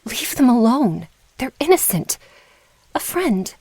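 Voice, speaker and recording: A woman playing an earnest, protective young mage girl in a fantasy ASMR roleplay, with a soft, breathy voice. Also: on the high side, sing-song, slow pace, no room sound, full character voice. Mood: defiant, pleading.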